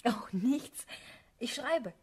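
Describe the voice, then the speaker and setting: An actress playing a coquettish, proud and easily flustered young merchant's daughter in a German radio play. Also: female voice, fairly high pitch, expressive, extremely slow, a little mellow, husky, full character voice. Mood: coy.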